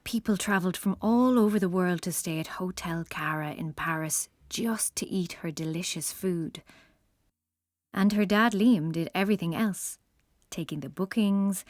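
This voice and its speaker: A woman's voice: fairly low pitch, normal speed, darkish tone, no room sound, clean studio sound. A woman narrator reading a scripted children's story.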